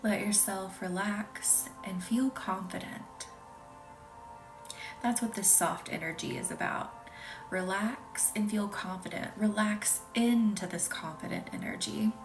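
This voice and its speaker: A woman from the American South leads a live-streamed reiki session, speaking softly and slipping into a breathy half-whisper. She answers viewers with hesitant, filler-laden chat. She sits back from the phone mic, with clear music playing behind her. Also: medium pitch, unhurried, far from the mic. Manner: soft, often whispery, hesitant with many fillers.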